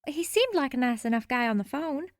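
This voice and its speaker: A woman's voice: high voice, very animated, brisk, no room sound, clean studio sound. An actress playing a curious young woman who teases and probes her friend in a radio play. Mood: teasing. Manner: teasing and probing. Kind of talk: radio drama acting.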